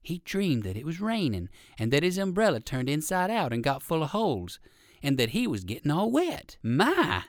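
A man narrating a children's storybook in an expressive way, with chatty asides to young listeners. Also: on the high side, normal speed, dry recording, clean studio sound. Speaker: audiobook narrator.

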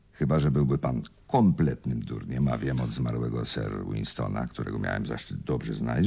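A man playing a shrewd, composed police inspector in a radio play, reasoning formally and deliberately in a gravelly voice. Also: a bass voice, dark tone, heavily acted. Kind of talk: Polish radio play acting.

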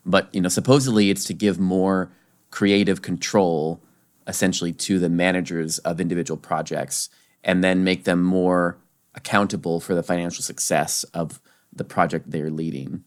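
Deep-voiced man in casual chat. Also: fairly even intonation, normal speed. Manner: rambling, many restarts and "you know"s. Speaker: podcast co-host. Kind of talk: casual discussion.

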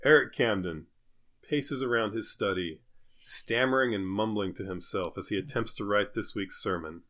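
A man's voice: lowish voice, normal speed, a bit bright. A man hosting a podcast, chatting casually and narrating a comic script. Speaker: podcast host.

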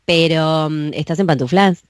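A woman with an Argentine accent hosting a casual podcast interview, chatty and quick to laugh. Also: deep voice, no room sound, studio quality. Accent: Argentine Spanish. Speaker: podcast host.

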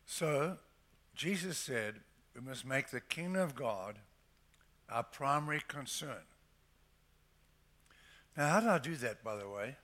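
An older man preaching a sermon to his church, stressing scripture phrases slowly and emphatically. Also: mid-range voice, expressive, fairly bright tone. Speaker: pastor.

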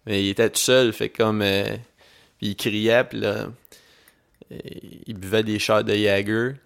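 A man's voice: fairly low pitch, unhurried, gravelly, clear, resonant tone. Laid-back young Québécois man chatting. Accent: Québécois French. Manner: relaxed, laid-back storytelling with English slang mixed in. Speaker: podcast co-host. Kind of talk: casual chat.

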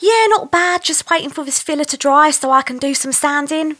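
A woman's voice: high voice, fairly quick, fairly bright tone. A woman playing a cheerfully clueless amateur handy partner in a comedy sketch. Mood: cheerfully oblivious.

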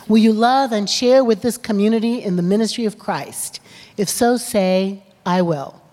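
A woman's voice: medium pitch, moderate pace, warm tone. Woman leading vows in a church. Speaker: worship leader. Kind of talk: membership vows liturgy. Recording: long hall reverb.

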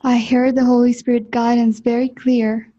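A woman interpreting a guest's words in short consecutive chunks, with an even, plain delivery. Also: on the high side, measured pace. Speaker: interpreter into English. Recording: muffled, dull sound.

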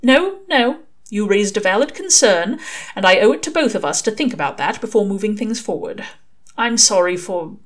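A woman's voice: mid-range voice, expressive, moderate pace, pitched above the performer's own voice, lightly acted. A woman voicing a prim, earnest male angel in an audiobook, with formal, fussy and hesitant phrasing. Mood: earnest, reassuring. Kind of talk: audiobook character dialogue.

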